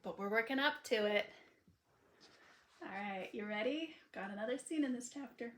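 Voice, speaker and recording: A woman author reading her own romance novel aloud in an expressive, informal storytelling style. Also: fairly high pitch, normal speed. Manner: informal asides to listeners between passages. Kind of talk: expressive audiobook narration of a sweet romance. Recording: unedited roomy home recording.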